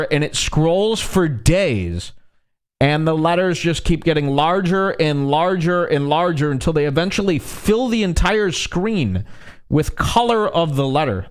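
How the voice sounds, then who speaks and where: A man hosting a comedy radio show, setting up a prank call with mocking commentary and drawn-out emphasis on words. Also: on the high side, clear, resonant tone.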